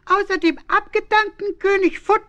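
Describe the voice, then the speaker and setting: A man's voice: very high-pitched, flat delivery, normal speed, bright, forceful tone. A man voicing a friendly talking animal in a 1970s children's radio play, cheerful and explanatory. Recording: dull old record, faint crowd.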